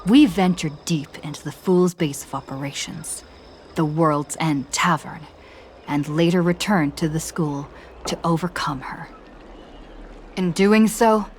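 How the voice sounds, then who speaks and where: A low-voiced traveler recapping her journey. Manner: measured, even storytelling delivery. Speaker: story recap narrator. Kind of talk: scripted game story recap narration. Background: faint background music.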